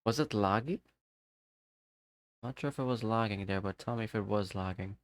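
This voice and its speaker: A man's voice: fairly low pitch, normal speed, dry recording. A man streaming a game live, chatting casually with viewers and talking to himself as he plays. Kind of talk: casual live-stream chat while gaming.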